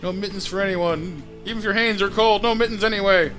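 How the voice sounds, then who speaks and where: A man's voice: high-pitched, fairly even intonation, fast talker, a bit bright, soft background music. A man live-streaming a game, chatting playfully with his chat, his voice getting scratchy late in the session. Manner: playful, chatty, sings silly bits. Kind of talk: casual gaming stream chat.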